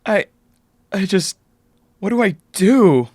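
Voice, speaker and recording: A man's voice: high voice, lively intonation, takes it slowly, a little mellow, no echo, clean studio sound, full character voice. A man playing a panicked, distraught ancient king in a comedic audio drama, flustered, rambling and overwrought. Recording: noisy mic with clicks.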